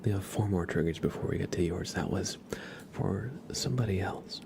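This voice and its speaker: A man hosting an ASMR livestream, speaking in a breathy whisper and soft murmur, soothingly repeating words to viewers at a close microphone. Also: deep voice, moderate pace, noisy background. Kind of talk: ASMR, slow whispered roleplay and chat to viewers.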